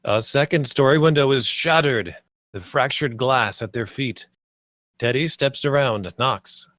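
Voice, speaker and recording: A man's voice: mid-range voice, unhurried, a bit bright, dry recording, studio quality. A man reading stage directions as the narrator at a screenplay table read.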